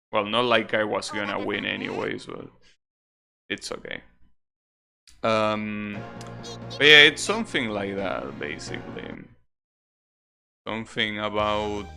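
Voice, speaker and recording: Slow-paced man streaming over loud game music. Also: fairly low pitch, gravelly. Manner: opinionated, grumbling, mild swearing. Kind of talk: casual live gaming commentary, chatting with viewers. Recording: game music playing behind the voice. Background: video game music.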